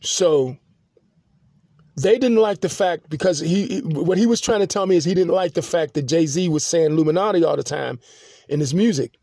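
A man hosting a podcast, telling a long personal story in a halting, rambling monologue full of restarts and fillers. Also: fairly high pitch, dark tone, no room sound, clean studio sound. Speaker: podcast host.

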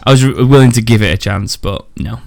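A man hosting a casual gaming podcast, chatty and rambling, quick to laugh. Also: lowish voice, vocal fry, a little mellow. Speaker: podcast host.

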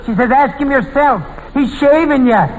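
A man's voice: very high voice, moderate pace. A man eagerly telling gags in old-time radio comedy style. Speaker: comic panelist. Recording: muffled, hissy old radio broadcast.

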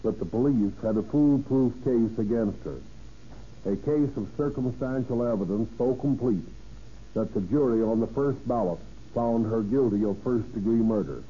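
A man reading scripted 1930s radio narration in a formal, measured manner as a police chief narrator, on an old radio recording. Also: medium pitch, mellow, highs cut off, a little hiss or hum.

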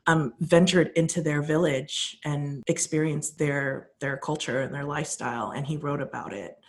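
A woman's voice: low voice, fairly even intonation, normal speed. A woman chatting casually on a podcast, telling a history story with plenty of fillers and restarts.

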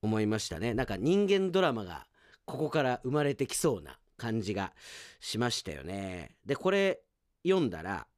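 A man's voice: lowish voice, sing-song, moderate pace, dry recording, studio quality. A man hosting a solo radio show, chatting in a self-deprecating way and reading out listener mail like an announcer. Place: radio studio.

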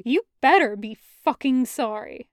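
A woman voicing a furious, hurt woman confronting a friend, with forceful, sweary, accusing outbursts in an audiobook. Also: a little high, lively intonation, measured pace, no room sound, clean studio sound. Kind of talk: audiobook character acting.

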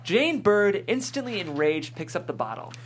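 A woman's voice: mid-range voice, very animated, moderate pace, slight background noise. A woman reading scripted narration and stage directions at a comedy table read.

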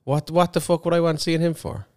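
A man's voice: fairly high pitch, flat delivery, a little fast, darkish tone, no room sound. An Irish man in his late twenties co-hosting a casual podcast, teasing and dry, swearing a lot. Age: late 20s. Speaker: podcast co-host. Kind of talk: casual chat.

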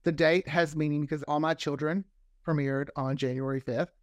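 A man's voice: on the high side, normal speed, no room sound, clean studio sound. A man chatting casually as an interview guest, telling stories and often voicing quoted remarks as he goes. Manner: animated storyteller, often acts out quoted remarks. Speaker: guest, audio drama creator.

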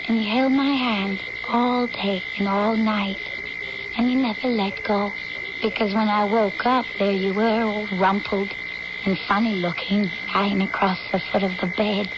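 An actress playing a devoted, needy young daughter in a radio drama, earnest, dreamy and intimate. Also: female voice, medium pitch, fairly even intonation, measured pace, natural voice. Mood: wistful. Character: devoted, needy schoolgirl daughter narrating her memories. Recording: noisy, dull old-time radio recording.

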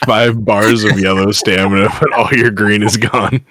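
Lowish voiced guy cracking jokes on a podcast. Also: steady tone, normal speed, fairly bright tone, no room sound, clean studio sound. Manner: joking, laughs. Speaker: podcast co-host. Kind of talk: casual podcast chat.

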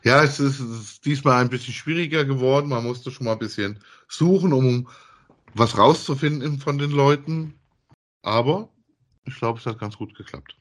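A man's voice: medium pitch, moderate pace, a bit bright. A man chatting casually as co-host of a podcast that reviews audio dramas.

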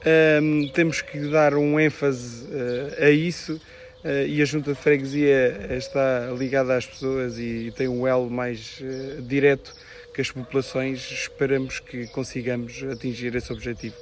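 A man's voice: mid-range voice, flat delivery, a little slow, slight background noise. A man in his thirties with a European Portuguese accent and a strained voice, answering interview questions as a local candidate, hesitant with many fillers and restarts. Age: 30s.

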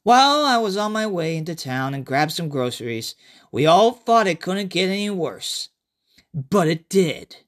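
Man reading as high-pitched emphatic horror storyteller. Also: fairly bright tone, exaggerated voice. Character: chatty survivor narrator recounting an outbreak horror tale. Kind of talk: dramatic first-person story reading.

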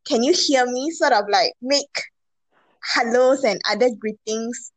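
A young woman co-hosting a casual podcast, talking in a calm, low, hesitant way with many fillers. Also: unhurried, dry recording, low-quality recording. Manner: self-described introvert, keeps voice low and calm. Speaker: podcast co-host. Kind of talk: casual chat.

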